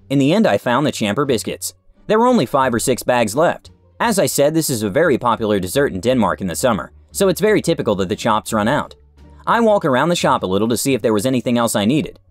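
Lively-voiced man reading stories. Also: mid-range voice, no echo. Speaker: narrator reading forum stories. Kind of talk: scripted narration.

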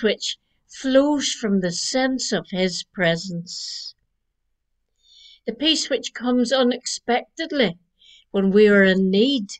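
Slow-spoken older woman minister. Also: medium pitch. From Northern Ireland. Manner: slow, gentle preaching cadence. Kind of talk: Christmas Eve reflection. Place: living room at home. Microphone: webcam at desk distance.

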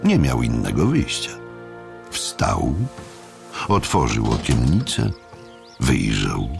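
A man narrating an audiobook drama as a literary storyteller, measured and deliberate, with dramatic pauses. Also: rumbling low, wildly swooping pitch, vocal fry, over music.

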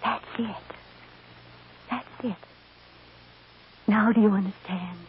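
An actress playing a tender, earnest mother in an old radio drama, speaking with soft, coaxing emotion. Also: medium pitch, steady tone, takes it slowly, lightly acted. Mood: gently explaining. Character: tender, earnest young mother reassuring her adopted daughter. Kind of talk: old-time radio soap drama acting. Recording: muffled, noisy old radio recording.

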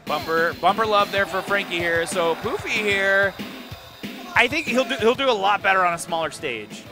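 A man doing live play-by-play and analysis of a video game match, excitable and explaining tactics in detail. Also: high voice, normal speed. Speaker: esports tournament commentator. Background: video game music.

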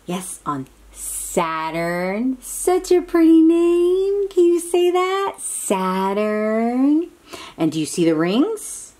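A woman reads a picture book aloud to young children at a very unhurried pace. Her voice swings expressively up and down as she exclaims and coaxes little listeners with simple questions. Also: high-pitched, big pitch swings, mellow.

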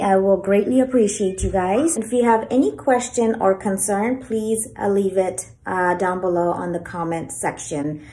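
Woman vlogging her hair routine, lots of ums, bit roomy sounding. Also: medium pitch. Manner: chatty, filler-heavy, gentle. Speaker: beauty vlogger. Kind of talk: casual hair tutorial. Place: small bedroom or dressing room at home. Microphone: phone camera propped low. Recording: echoey small room.

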